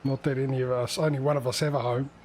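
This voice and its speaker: A man's voice: medium pitch, little pitch movement, quick pace, darkish tone. Rambling man livestreamer with faint background noise. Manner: rambling, hesitant, self-correcting. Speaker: livestream host. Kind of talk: casual livestream chat.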